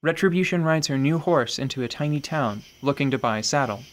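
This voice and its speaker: A man narrating a fantasy radio play in a measured, dramatic storytelling style with musing asides. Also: a little high, lively intonation. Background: soft music.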